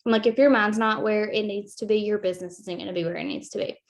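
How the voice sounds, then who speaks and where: A woman's voice: medium pitch, steady tone, fast. Earnest woman with a lilt. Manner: casual, heavy "like" filler, earnest. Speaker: team leader. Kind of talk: motivational video call talk.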